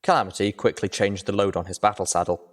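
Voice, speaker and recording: Man, low-pitched, scripted fiction narration, storyteller role. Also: steady tone, fast. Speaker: narrator of a fiction reading show.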